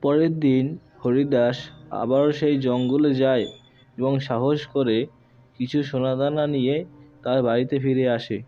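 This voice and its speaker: A man's voice: mid-range voice, fairly even intonation, unhurried, mellow, lo-fi sound. A man narrating a children's cartoon tale as a scripted storyteller. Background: music.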